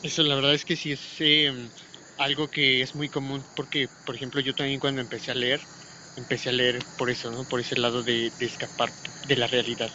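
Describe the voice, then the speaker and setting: Male host, forceful bright voice, medium pitch, casual interview chat, dull lo-fi recording. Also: flat delivery, brisk. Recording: dull lo-fi audio, faint noise, insects.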